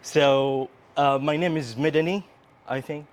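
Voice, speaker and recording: Slow-paced Egyptian man presenting, higher voice. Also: steady tone. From Upper Egypt. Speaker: conference presenter, English teacher. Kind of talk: prepared conference presentation.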